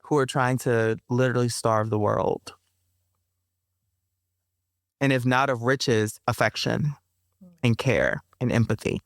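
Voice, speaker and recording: A woman who is a poet and interview guest, talking in an emphatic, expressive way. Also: rumbling low, slow, pauses often, dry recording, studio quality. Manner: emphatic, laughs often while talking. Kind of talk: conversational interview, reciting own poem.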